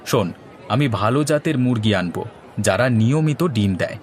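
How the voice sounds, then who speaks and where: A man's voice: mid-range voice, expressive, normal speed, a little hiss or hum, natural voice. A man as a confident, scheming cartoon villager. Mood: eager, persuasive. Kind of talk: comic Bengali cartoon dialogue. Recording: music behind the voice.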